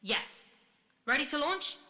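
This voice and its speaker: A woman playing a brisk, unflappable communications supervisor in an audio drama, firm and official, sticking to radio procedure. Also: high voice, lively intonation, background noise. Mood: brisk, wry.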